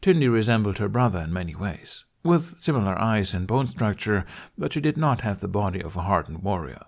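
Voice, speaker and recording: A man's voice: fairly low pitch, sing-song, a little fast, gravelly. A man reading scripted story narration as the narrator of an audiobook.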